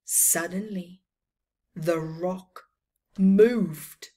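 A middle-aged woman reading a picture book to children, expressively and with dramatic emphasis. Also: on the low side. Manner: expressive, slow deliberate storytelling with dramatic emphasis. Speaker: children's storytime reader. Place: small plain room indoors.

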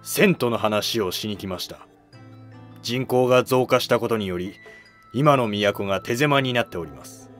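A man's voice: lowish voice, normal speed, a bit bright, soft background music, natural voice. A man playing a deferential, earnest court minister in a scripted historical drama. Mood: respectful, explanatory.

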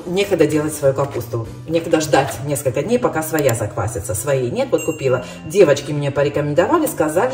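Middle-aged woman vlogger, chatty, low and dark voice, caught from across a roomy kitchen. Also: recorded from a distance. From Russian-speaking, living in Ireland. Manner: chatty, addresses viewers as girls. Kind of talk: casual grocery haul chat. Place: home kitchen. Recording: roomy, from off-mic, soft music.

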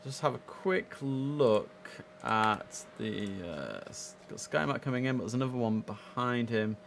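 A man's voice: mid-range voice, animated, a little slow, vocal fry, a little hiss or hum. A young man chatting casually and easily as he hosts a live gaming stream, speaking into a headset.

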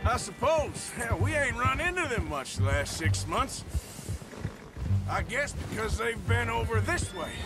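A man's voice: very high-pitched, sing-song, unhurried, full character voice. A man playing a rugged, sardonic American cowboy outlaw in a video game, with a folksy, blunt drawl. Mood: grim, matter-of-fact. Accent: American Western. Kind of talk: video game cutscene acting. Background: loud music.

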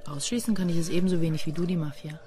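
A woman playing a cool, deadpan police detective in a German crime radio play. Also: lowish voice, racing, soft background music, lightly acted. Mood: dry, businesslike. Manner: dry, deadpan.

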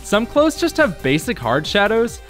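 A young man narrates a scripted vlog in a fairly high voice. His delivery is lively and wry, poking fun at himself. Music plays behind him. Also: measured pace. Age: young adult. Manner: wry, self-deprecating humour. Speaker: video creator and game developer.

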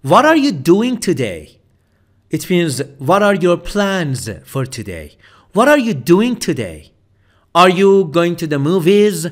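A man with a high, very animated voice teaches everyday English phrases. He speaks slowly and drills each expression, repeating it for listeners to copy. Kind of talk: slow spoken English lesson with repeated phrases. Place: voice-over for slide video.

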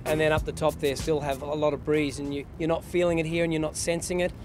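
A male yacht captain in his forties with an Australian accent talks casually outdoors. His voice sits a little high, with faint music behind. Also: level tone, fast talker, a little mellow. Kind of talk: casual explanation to camera. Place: outdoors on a yacht deck and dockside.

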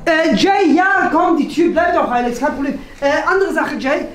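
High-pitched young man, exaggerated and playful. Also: animated, moderate pace, a bit bright, soft background music. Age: 20s. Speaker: skit performer in a shared-flat video series. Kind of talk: playful improvised comedy skit. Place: small indoor rooms of a flat.

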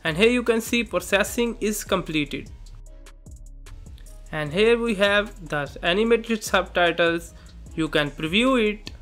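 A man presenting a software tutorial, narrating step by step in simple instructional phrases. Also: high-pitched, a little slow. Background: background music.

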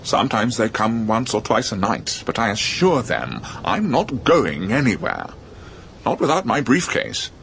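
An actor playing a fussy, oblivious old-fashioned English ghost gentleman in a radio drama, with a British accent and polite chummy manner. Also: fairly low pitch, huge pitch swings, measured pace, creaky, slight background noise, heavily acted. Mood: genial, fussy. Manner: polite, dated upper-class chumminess.

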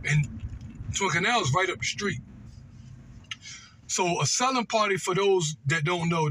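A middle-aged man with a high, bright voice tells a story in a casual, slangy way. He repeats himself and drops in conversational asides, with road noise from the car around him. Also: poor audio. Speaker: storytelling vlogger. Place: inside a moving car.

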